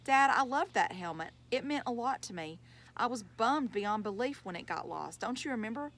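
A woman voicing an earnest, excitable schoolboy of about eleven in a storybook, eager and teasing. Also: mid-range voice, fairly quick. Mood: indignant.

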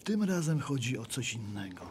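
Man acting a mid-pitched, probing messenger. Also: sing-song, moderate pace, background noise, close to an everyday voice. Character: probing, persistent male bringer of bad news. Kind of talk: audio drama acting.

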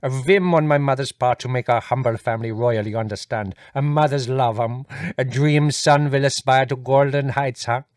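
A man voicing an excitable, earnest male guest who speaks in a pedantic, effusive, formal way. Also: mid-range voice, normal speed, hardly any pauses, no room sound, clean studio sound, voice pushed up, lightly acted.

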